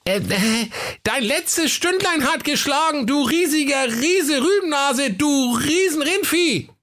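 A whiny young prince from a comic fairytale, speaks in a high, squeaky falsetto. He stammers, complains in slang and sounds easily panicked, with a cheeky streak. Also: expressive, slow, fairly bright tone, heavily acted.

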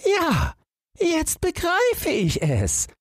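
A man voicing a gloomy, know-it-all old watchdog with a hoarse voice in a fairy tale. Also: very high voice, huge pitch swings, extremely slow, no echo, studio quality, voice pushed up, exaggerated voice. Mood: musing.

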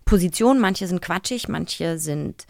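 A woman's voice: on the low side, animated, unhurried, gravelly, darkish tone, dry recording, clean studio sound. A woman co-hosting a podcast in a casual, playful chatting style. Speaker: podcast co-host.

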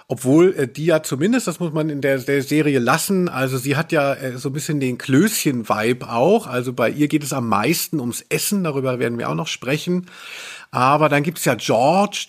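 A man with speech co-hosting a podcast in casual conversation. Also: on the high side. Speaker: podcast host.

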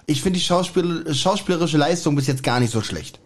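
A man's voice: a little high, expressive, a little fast. A man chatting casually as a podcast co-host. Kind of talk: casual chat.

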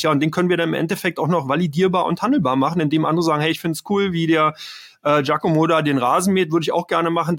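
A middle-aged man from Berlin talks in a somewhat high voice as the guest of an interview. He explains at length, rambling and circling back with fillers. The sound is dry and close. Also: a bit bright, slightly rough, no echo. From Berlin, Germany. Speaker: trading expert guest. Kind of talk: conversational interview.

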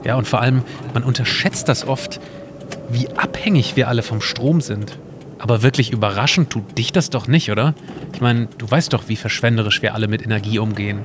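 Mann spielt erklärenden Zeitreisenden, deutliches Hintergrundrauschen. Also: male voice, medium pitch, brisk, noisy background, natural voice. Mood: earnest. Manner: explanatory, conversational. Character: knowledgeable, calm male time traveler explaining electric cars. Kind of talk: amateur audio drama dialogue.

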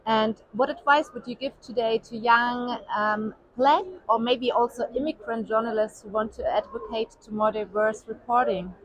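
Woman interviewer, fairly high voice, polite and hesitant. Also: steady tone, moderate pace, few pauses, lo-fi sound. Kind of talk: interview questions.

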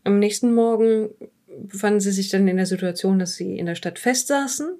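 A woman playing a composed, formal police psychological evaluator in an audio drama, speaking in measured, careful phrases and politely dismissive. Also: mid-range voice, mellow, lightly acted. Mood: clinical, measured. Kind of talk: improvised audio drama dialogue.

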